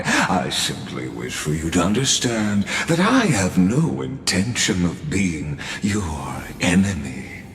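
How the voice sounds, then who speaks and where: An actor playing a suave, calculating mastermind in a video game, speaking in a theatrical, grandiose and eloquent way. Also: male voice, low voice, fairly bright tone, a little hiss or hum, exaggerated voice. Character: suave, calculating male mastermind observing his grand experiment. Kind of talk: scripted video game voice acting. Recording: roomy reverberant voice over music. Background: music.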